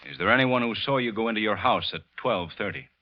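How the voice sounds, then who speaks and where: An actor playing a police detective in an old radio thriller, deceptively casual, insinuating and slyly suspicious. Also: male voice, fairly low pitch, quick pace, lightly acted. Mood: slyly probing. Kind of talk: old-time radio suspense acting. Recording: muffled, noisy vintage radio recording.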